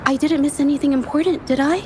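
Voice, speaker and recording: An actress playing a shy, earnest young woman painter in a game, speaking softly and stammering when flustered. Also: high-pitched, fairly even intonation, fast, background noise, close to an everyday voice. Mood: grateful. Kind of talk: game voice acting. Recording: game audio with faint music underneath.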